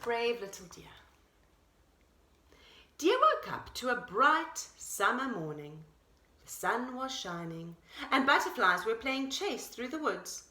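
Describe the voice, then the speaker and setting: Female storyteller for small children, expressive and explanatory, highish lilting voice with room echo. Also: takes it slowly, long pauses. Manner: animated, explanatory. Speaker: pre-primary teacher, storyteller. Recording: roomy echo.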